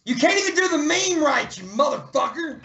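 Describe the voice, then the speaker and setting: A man's voice: very high voice, sing-song, a little fast, sharp tone, echoey room, heavily acted. A man voicing a cocky, foul-mouthed chipmunk pimp who heckles in lewd slang. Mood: mocking.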